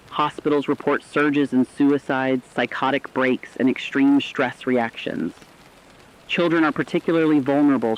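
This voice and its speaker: A man's voice: medium pitch, close to an everyday voice. A man playing a somber radio co-anchor in a disaster drama, reading formal, earnest reports with emphatic lists, on slightly dull audio. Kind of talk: scripted radio news drama narration.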